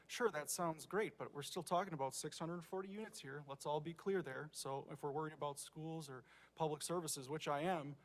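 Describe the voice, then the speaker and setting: An American man speaking as a city council member in a public meeting, making measured, argumentative points with emphasis. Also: on the high side, poor audio. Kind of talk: deliberative meeting remarks.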